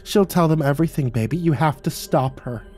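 A man's voice: mid-range voice, expressive, measured pace, a little mellow, faint music behind. A man voicing a desperate, fierce mother protecting her monstrous child, urgent and strained, in a horror audiobook. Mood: pained urging.

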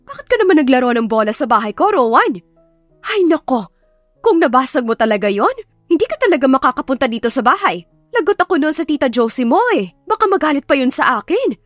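A woman's voice: high-pitched, very animated, no echo, soft background music, pitched above the performer's own voice. A woman voicing a stern middle-aged mother who nags and scolds her grown son. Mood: scolding. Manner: scolding, fast nagging.

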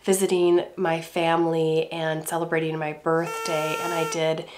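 A middle-aged woman with a congested voice from a cold, calmly explaining her hair care routine to camera at home. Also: deep voice, normal speed, noisy background. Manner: calm, gentle, explaining step by step. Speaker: beauty vlogger. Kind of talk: casual talk to camera. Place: home room indoors.

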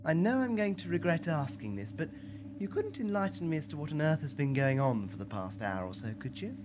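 Man acting an animated, plucky sidekick. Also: on the high side, a little fast, noisy background. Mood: dry, sarcastic. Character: excitable male companion, plucky and sarcastic. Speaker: voice actor in an amateur audio drama. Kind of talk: audio drama acting.

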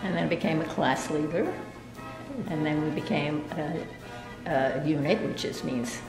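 An older woman from New York, an interviewee, remembering her past in an unhurried way with many hesitations. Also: deep voice, fairly even intonation. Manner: unhurried, with frequent hesitations and restarts. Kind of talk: casual reminiscing interview. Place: indoor library room with bookshelves. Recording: roomy echo, music underneath.

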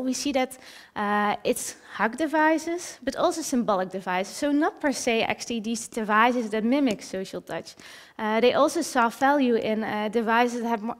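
A young woman speaks from a theater stage with a somewhat high voice. She explains her research earnestly, often stopping to restart a phrase or fill a gap with "uh". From Netherlands. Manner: earnest, with frequent fillers and restarts. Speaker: researcher presenting at a talk night. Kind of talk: short stage presentation and Q&A.

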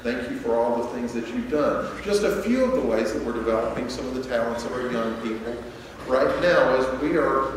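A man in his forties gives an earnest, steady church address from a pulpit, pausing on fillers as he speaks. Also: medium pitch, background noise. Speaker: church program leader. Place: large church auditorium. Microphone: podium mic at a distance. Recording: echoey hall, voice heard from far off.

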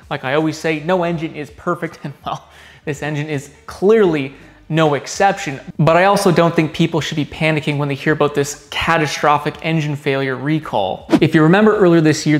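A young man hosting a car channel, giving an upbeat explainer to camera in a large garage workshop and talking with his hands. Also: fairly high pitch. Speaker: automotive channel host.

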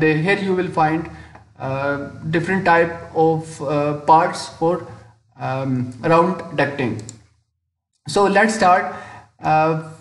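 A man narrating a step-by-step software tutorial as an instructor, hesitant and often pausing mid-sentence, in a voice-over with room echo. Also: high voice. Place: indoor room, screen-recording voice-over. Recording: roomy, echoing voice-over.

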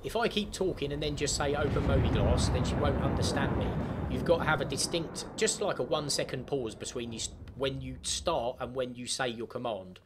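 A man chatting casually through a step-by-step software tutorial for gamers. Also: mid-range voice, fairly quick, hardly any pauses, heavy background noise. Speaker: gaming tutorial host. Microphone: desktop microphone, voice-over of screen capture.

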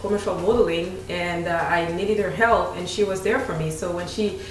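A woman's voice: on the low side, moderate pace, faint music behind. A woman in her thirties with a Haitian accent, a nutritionist chatting casually and laughing often. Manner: animated, laughs often, restarts sentences. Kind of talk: casual chat. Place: home kitchen, indoors. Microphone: desk mic on tripod, camera at a distance. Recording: echoey room, voice from a distance.

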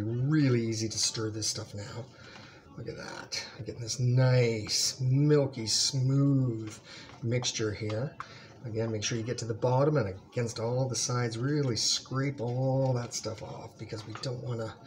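A middle-aged man giving a casual cooking demonstration in his home kitchen, relaxed and full of ums as he stirs, with clatter behind him. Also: medium pitch, unhurried, a little hiss or hum. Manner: relaxed, talks while stirring, many ums. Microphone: built-in camera mic, close framing.

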